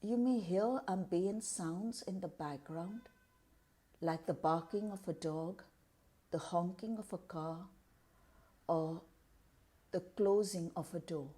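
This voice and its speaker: A woman leading a guided meditation in a calm, measured, deliberate way, pausing between short phrases. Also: fairly low pitch.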